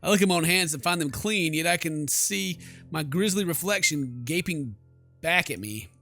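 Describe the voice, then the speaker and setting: Guy doing a lets play, bright punchy voice, jokey and informal, faint music in back. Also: a little high, moderate pace. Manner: joking, exclamatory, informal. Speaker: gaming video host. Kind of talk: game playthrough commentary, reading text aloud.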